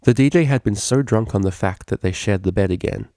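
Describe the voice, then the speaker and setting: A man's voice: on the low side, brisk, dark tone, no echo, studio quality. A man reading a scripted story aloud as its narrator. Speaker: fan fiction reader.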